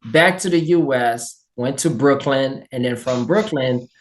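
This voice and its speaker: A man from Haiti with a Haitian accent, a guest telling his life story in an energetic, upbeat interview chat. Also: medium pitch, a little slow. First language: Haitian Creole and French.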